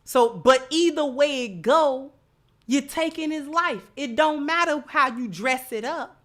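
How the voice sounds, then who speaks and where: A woman's voice: sits high, expressive, a little slow, a bit bright. A woman giving opinionated live commentary as a host, emphatic, repeating phrases and posing rhetorical questions. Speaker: livestream host.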